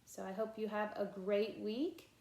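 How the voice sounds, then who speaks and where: A woman reading a children's picture book aloud in an expressive, emphatic way, with casual asides. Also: medium pitch, a little slow, creaky. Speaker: read-aloud storyteller. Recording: echoey room.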